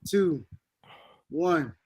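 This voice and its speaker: A man from New co-hosting a casual wrestling podcast, loud and slangy with hype-man energy. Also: fairly high pitch, animated, very slow, a little hiss or hum. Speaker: podcast co-host.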